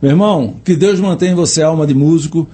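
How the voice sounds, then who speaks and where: A male radio host, talking in a slightly high but mellow voice. He is effusive and warm-hearted, piling on blessings as he wraps up the chat. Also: quick pace, breathy edge. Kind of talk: casual radio interview.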